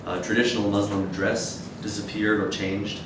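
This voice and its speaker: A man in his thirties giving an academic lecture in a measured, hesitant way. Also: flat delivery. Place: dim wood-shelved seminar room with audience, indoors. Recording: echoey room, distant mic, noisy rumble.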